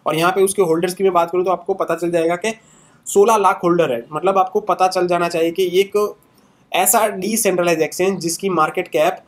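Young man, fairly high voice, casual chart walkthrough, frequent filler tags, webcam at a desk. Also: fairly even intonation, fairly quick. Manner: conversational, fillers and tags after many sentences. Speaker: crypto channel host. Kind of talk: casual screen-share market analysis.

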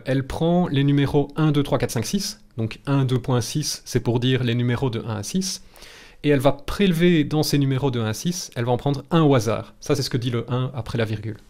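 A man's voice: medium pitch, darkish tone. A man in his thirties with a Belgian French accent, teaching statistics live in a chatty, reassuring, casually blunt and jokey way, close to the mic in a small room. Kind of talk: informal live tutorial, explaining step by step. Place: small home room, indoors.

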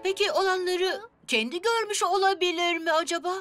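A woman voicing a lively, excitable young boy bus in a children's cartoon dub. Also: very high voice, fairly quick. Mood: puzzled. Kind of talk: dubbed children's cartoon acting. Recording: loud children's music behind.

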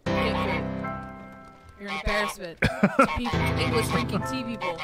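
A woman's voice: mid-range voice, normal speed, over loud music. A young woman co-hosting a game stream at home, teasing her partner and reading viewer comments aloud. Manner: teasing, playful, reads chat aloud. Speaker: co-host streamer. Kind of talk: casual livestream banter. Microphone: studio mic through an audio interface, in-ear headphones.

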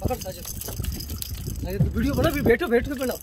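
A young man on a small fishing boat at sea, urgently giving quick orders to his crew while filming on a phone. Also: very high-pitched, lively intonation. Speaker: fisherman filming a catch. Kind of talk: shouted instructions on a boat. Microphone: phone held on deck. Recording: loud wind and engine noise.

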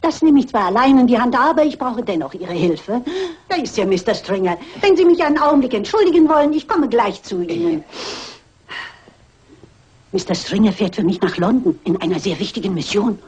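An actress playing a shrewd, self-assured elderly lady sleuth in a German radio crime play, brisk and politely commanding. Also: fairly high pitch, lively intonation, darkish tone, husky. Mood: confident. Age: older. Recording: dull, band-limited radio play recording.

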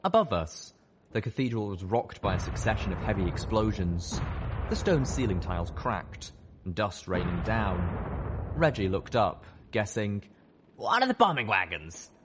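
A man with a very deep voice narrates an audiobook. His scripted delivery is dramatic, and there is faint noise behind him. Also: expressive, a little slow.

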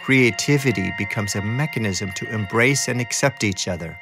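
A man's voice: on the low side, normal speed, music in the background. A man reading scripted documentary narration as the reporter who made the piece.

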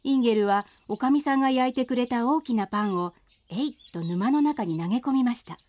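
A woman's voice: a little high, fairly quick, a little mellow, dry recording. A woman narrator reading a scripted storybook tale for a radio drama.

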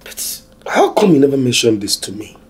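An actor with a Nigerian accent playing a joking young man who teases his friend excitably. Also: mid-range voice, moderate pace, slightly rough. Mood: playful. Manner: playful, teasing, excitable.

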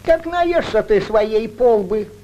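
Crafty, theatrical old village priest. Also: male voice, high voice, lively intonation, warm tone, muffled recording. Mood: commanding, sly. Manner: theatrical, drawn-out declaiming. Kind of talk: 1940s Soviet cartoon acting, rhymed fairy-tale verse. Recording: old 1940s film soundtrack.

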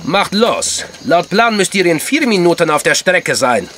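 An actor playing a cool, commanding secret agent in a crime radio drama, curt and authoritative. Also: male voice, sits high, big pitch swings, a little slow, music in the background.

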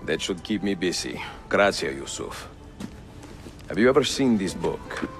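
A seasoned Italian assassin speaks in a low, measured voice. He is courteous yet grave and resolute, with an Italian accent. Cinematic game music plays behind him. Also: very animated. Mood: calm, purposeful. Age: older. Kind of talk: cinematic video game acting.

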